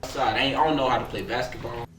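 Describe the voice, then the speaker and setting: A man's voice: mid-range voice, steady tone, rapid-fire. A young man, a rapper being interviewed, chats casually in slangy talk and often repeats his phrases. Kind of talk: casual chat, telling stories. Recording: roomy, distant mic, loud background noise.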